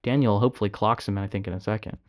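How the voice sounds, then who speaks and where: A man's voice: on the low side, fairly even intonation, very fast. A man hosting a reaction video, commenting bluntly and with exasperation, swearing often.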